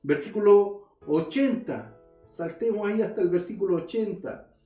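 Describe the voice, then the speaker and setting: A man's voice: sits high, moderate pace, mellow, far from the mic, faint music behind. A male pastor preaching a sermon, earnestly addressing his listeners and reading scripture aloud. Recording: roomy echo.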